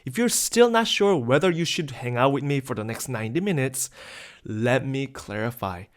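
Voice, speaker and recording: A man in his thirties from South Korea hosting a webinar, delivering an upbeat, persuasive scripted sales pitch. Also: lively intonation, normal speed. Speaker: webinar host.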